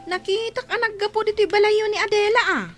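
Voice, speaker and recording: A woman's voice: extremely high pitch, fairly even intonation, brisk, loud music behind the voice. A woman with an Ilocano accent playing a nosy, sarcastic village gossip in a radio comedy-drama, taunting and exclamatory. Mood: prying.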